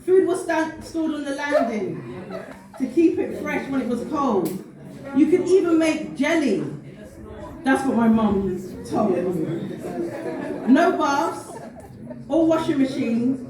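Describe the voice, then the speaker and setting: A woman reading spoken-word poetry as personal storytelling, in a heartfelt, deliberate manner. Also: high-pitched, very animated, crowd noise. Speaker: poet. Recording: very echoey room, distant mic, faint noise.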